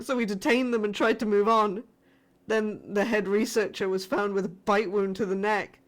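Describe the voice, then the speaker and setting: A woman playing a frightened spaceship crew survivor sending a distress message in a scripted sci-fi horror audio drama, formal but shaken and halting. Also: on the high side, natural voice. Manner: formal reporting that breaks into shaken, halting, emotional delivery.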